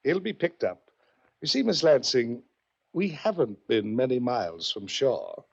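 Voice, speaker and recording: Shrewd wealthy gentleman, mid-pitched and gravelly, courteous, composed, vintage radio drama. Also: expressive, full character voice. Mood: composed, satisfied. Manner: measured, courteous, urbane. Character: shrewd, composed, wealthy male yacht owner bent on justice. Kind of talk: 1940s radio mystery acting. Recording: dull vintage radio recording.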